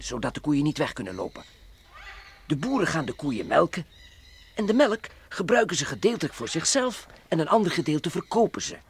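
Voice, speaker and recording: An actor playing a bossy, admonishing space adventurer who lectures and grumbles in a children's radio play. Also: male voice, high-pitched, slight background noise. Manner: didactic, admonishing.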